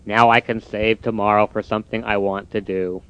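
A man voicing a lazy, grumbling male toad in a storybook reading, speaking low, slow and sulky. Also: little pitch movement, clean tone, dull audio, natural voice. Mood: contented.

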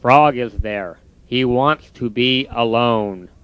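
A man's voice: medium pitch, slow pace, smooth, no echo, highs cut off, voice pushed down, close to an everyday voice. A man voicing a gloomy, easily discouraged toad in a storybook, with a mopey manner. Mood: glum. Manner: mopey, sighing.

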